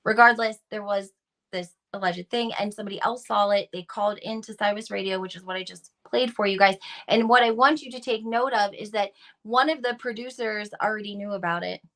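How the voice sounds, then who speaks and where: A woman's voice: medium pitch, a little fast, fairly bright tone. A woman hosting a gossip video, giving casual, opinionated commentary to viewers in an emphatic, informal way. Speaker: reality TV gossip video host.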